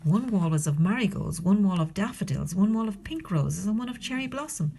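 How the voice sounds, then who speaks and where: A woman narrating a children's storybook expressively, as a librarian would, with dramatic delivery and hushed phrase endings. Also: mid-range voice, animated, brisk, vocal fry, a little hiss or hum.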